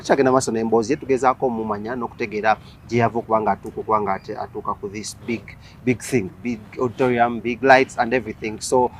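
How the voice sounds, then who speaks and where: A Ugandan man hosts a podcast interview in a middle-pitched voice. He talks in a loose, relaxed way, mixing Luganda and English and leaning on "uh" and repeated words. A light hiss sits under the recording. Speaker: podcast host.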